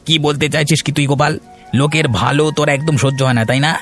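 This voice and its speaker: A man's voice: fairly high pitch, fairly quick, soft background music, natural voice. A man playing a wheedling village cheat. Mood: defensive. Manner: wheedling, flattering. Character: scheming male village bully, wheedling. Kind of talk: cartoon voice acting.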